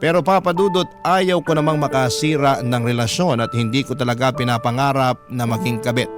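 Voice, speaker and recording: Filipino man narrating over clear music. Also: mid-range voice, a little fast. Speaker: radio storytelling host. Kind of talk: dramatic scripted narration of a love letter.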